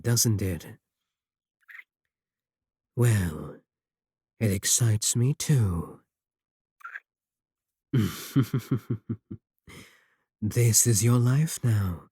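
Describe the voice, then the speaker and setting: Man acting as a slow, husky, seductive evil warlock. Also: lowish voice, mellow, no room sound, studio quality, exaggerated voice. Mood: possessive, pleased. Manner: slow, teasing, deliberate, with drawn-out phrasing. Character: seductive, possessive, manipulative evil male warlock. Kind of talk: intimate romantic audio roleplay.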